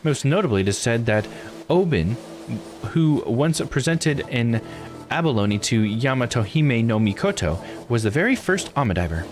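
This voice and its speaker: A man's voice: medium pitch, very animated, moderate pace, soft background music, close to an everyday voice. A man voicing an earnest, knowledgeable expert who formally explains local lore in a visual novel game. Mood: informative. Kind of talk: visual novel dialogue read aloud.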